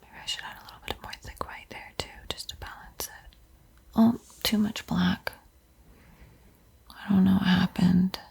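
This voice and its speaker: A woman giving a gentle, encouraging art tutorial in a soft, breathy ASMR whisper. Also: mid-range voice, level tone. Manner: slow, gentle, encouraging instruction. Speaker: host teaching a beginner painting tutorial.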